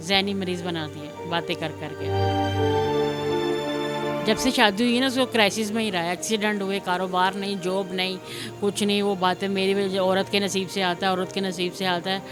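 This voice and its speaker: A woman's voice: mid-range voice, fairly even intonation, moderate pace. A middle-aged woman with a Pakistani accent giving a spontaneous, rambling personal account, repeating herself and retelling conversations. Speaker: interviewee recounting her own life. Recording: music laid under the voice.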